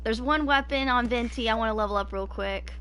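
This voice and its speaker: A woman's voice: on the high side, a little fast, fairly bright tone, slight background noise. A woman in her twenties chatting casually as she live-streams a game, thinking aloud in an informal way. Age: 20s. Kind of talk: casual live gameplay chat.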